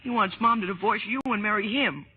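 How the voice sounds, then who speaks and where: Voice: mid-range voice, fairly quick, a little hiss or hum, lightly acted. A performer playing an earnest, loyal, anxious young son, pleading hesitantly in an old-time radio drama. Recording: tinny old radio broadcast, music behind.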